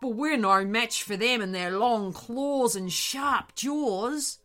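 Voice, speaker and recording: A woman's voice: on the high side, takes it slowly, a bit bright, faint music behind. A woman voicing a sensible, easily exasperated female tawny frogmouth bird in a children's story. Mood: worried.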